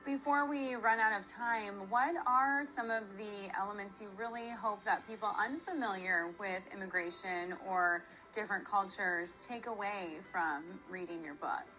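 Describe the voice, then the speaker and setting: A woman's voice: medium pitch, a little slow, few pauses, bright, forceful tone, soft background music, rough recording. A woman hosting a TV interview, asking questions in an upbeat, friendly way. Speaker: TV news host. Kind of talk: morning TV interview.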